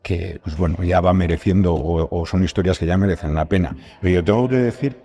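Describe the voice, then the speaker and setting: Man, Spain accent, deep voice, casual interview chat, rambling, self-deprecating. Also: fairly quick, warm tone. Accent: Spanish from Spain. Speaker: podcast guest, writer and creator of audio fiction.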